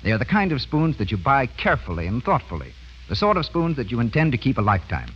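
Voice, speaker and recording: Husky, animated 1930s American radio announcer. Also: male voice, medium pitch, fast talker. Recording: muffled 1930s radio broadcast recording.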